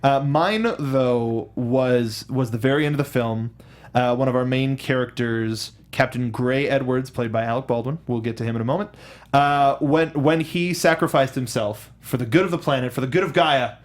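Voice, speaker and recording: A man co-hosting a movie review show in a casual, animated way, throwing in mock outbursts. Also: medium pitch, moderate pace. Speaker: co-host of a film review show. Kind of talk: casual film discussion.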